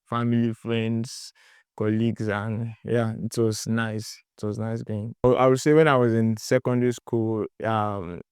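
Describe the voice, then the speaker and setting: A man's voice: fairly low pitch, flat delivery, measured pace, darkish tone, clean tone, dry recording, clean studio sound. A young man in his twenties with a Nigerian accent, a student answering interview questions in a hesitant, rambling way with many fillers.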